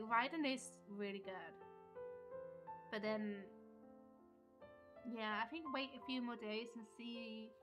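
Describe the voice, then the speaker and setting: A woman game streamer chatting casually and reacting to the game as she plays. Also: medium pitch. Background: game music.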